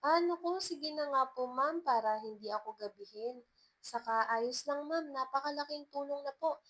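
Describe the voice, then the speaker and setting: A courteous young woman teacher from a romance story, acted by the female narrator. She speaks higher and with more push than plain narration, always deferential and grateful in her wording. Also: moderate pace, fairly bright tone. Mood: polite, surprised. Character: polite, worried young woman teacher studying for a masters.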